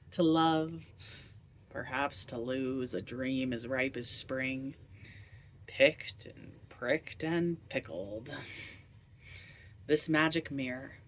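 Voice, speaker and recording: A man recites poetry at a very unhurried pace. His mid-pitched voice is deliberate and theatrical, with a whimsical streak that suits a poet performing verse. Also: a bit bright, slight background noise. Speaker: poet reading own poems.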